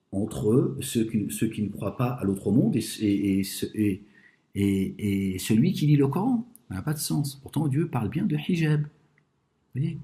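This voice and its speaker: A man commenting on scripture to an audience, reading verses slowly in French and Arabic, polemical and repeatedly asking "d'accord?". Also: on the low side, dark tone, roomy sound. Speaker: religious commentator. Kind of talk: speaking to an audience about scripture.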